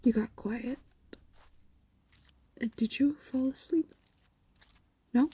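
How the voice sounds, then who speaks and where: A woman's voice: high-pitched, takes it slowly, dark tone, husky, heavily acted. A woman voicing an ancient, possessive and doting fox demon in an intimate roleplay audio drama, coaxing and condescending, often slipping into whispers. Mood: wistful, fond.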